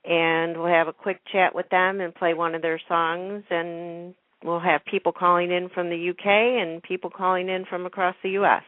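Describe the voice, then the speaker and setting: Woman, radio show host, casual talk, lower pitch, phone-like narrow audio. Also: flat delivery, normal speed, no echo.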